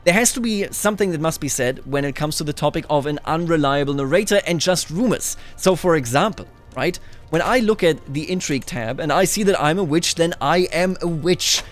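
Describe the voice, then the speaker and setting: A man with a slightly high voice hosts a gameplay video in a casual style. He muses his way through the game and tells it as an unfolding story, with music playing faintly behind him. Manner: musing, narrating the game as a story. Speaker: gaming video host. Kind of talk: casual roleplay gameplay commentary. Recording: music under the voice. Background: background music.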